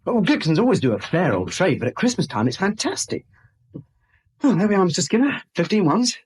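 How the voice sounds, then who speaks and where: Polite young British clerk, husky. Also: male voice, high-pitched, sing-song, a little fast, heavily acted. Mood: polite, chatty. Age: young adult. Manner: deferential, slightly hesitant. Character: polite, chatty young male bank clerk. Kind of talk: 1970s radio drama acting.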